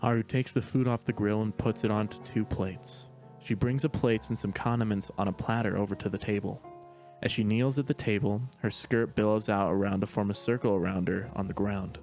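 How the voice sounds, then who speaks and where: A man narrating stage directions in a steady, matter-of-fact reading voice. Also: fairly low pitch, a little fast, soft background music. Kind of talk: plain read-aloud narration.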